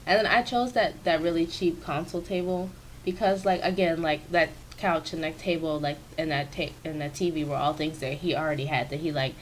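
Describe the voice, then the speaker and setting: A woman with a raspy, stuffy voice, chatting casually and rambling with many fillers, recorded on a sensitive microphone in her home office. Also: low voice, steady tone, fairly quick, a little hiss or hum. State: stuffy from sinus trouble. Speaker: video creator. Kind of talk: casual commentary over a speed-build. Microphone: new sensitive desk microphone.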